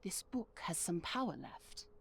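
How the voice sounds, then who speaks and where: A woman's voice: lowish voice, sing-song, a little slow, creaky, slight background noise, full character voice. A woman playing a determined, earnest young heroine, a loyal monster hunter in a fantasy game, with music behind her. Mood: focused, planning. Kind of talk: fantasy game character acting.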